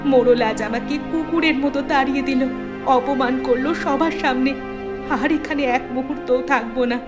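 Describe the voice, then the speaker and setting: A woman's voice: high voice, normal speed, over music, natural voice. A woman voicing a determined devoted elder sister. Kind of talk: cartoon voice acting.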